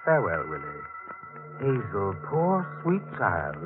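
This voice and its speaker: An actor playing a cold, gloating hired killer who taunts in a deliberate, mocking manner, in 1940s radio mystery style. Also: male voice, fairly low pitch, wildly swooping pitch, full character voice. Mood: gloating menace. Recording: muffled vintage 1940s radio recording, music behind.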